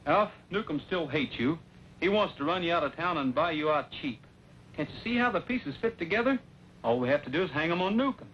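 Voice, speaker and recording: A man acting as a calm, plain-spoken cowboy hero who takes charge in an old Western drama. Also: on the high side, fairly quick, lightly acted. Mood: serious. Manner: plain-spoken, steady, reassuring. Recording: dull, noisy old radio recording.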